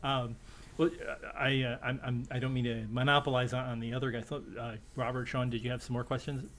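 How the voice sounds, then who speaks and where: A man interviewing an actor, asking questions in a halting way with many fillers and frequent laughter. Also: medium pitch, little pitch movement, fairly bright tone. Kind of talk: casual interview.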